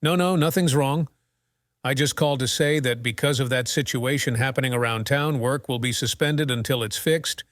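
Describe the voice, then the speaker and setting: A man's voice: mid-range voice, moderate pace, a bit bright, dry recording, close to an everyday voice. Man as genial fatherly boss, close and dry. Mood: reassuring. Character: genial paternal male boss on a phone call. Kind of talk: amateur audio drama acting.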